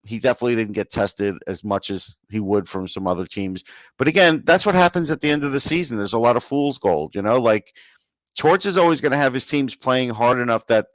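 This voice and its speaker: A man's voice: mid-range voice, dry recording. A man co-hosting a sports podcast, giving casual, opinionated analysis in an emphatic, conversational way. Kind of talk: casual hockey analysis chat.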